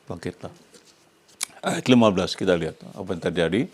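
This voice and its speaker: A man's voice: low-pitched, racing, darkish tone, breathy edge. Older indonesian guy, pastor giving a sermon, emphatic, keeps tossing questions out to the congregation. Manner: emphatic, asks the congregation questions to complete his phrases.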